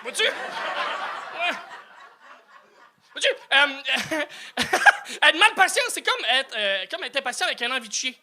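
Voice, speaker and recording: A man's voice: extremely high pitch, sharp tone. A man, doing a self-mocking stand-up set with stammered restarts and swearing. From New Brunswick, Canada. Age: 30s. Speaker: stand-up comedian.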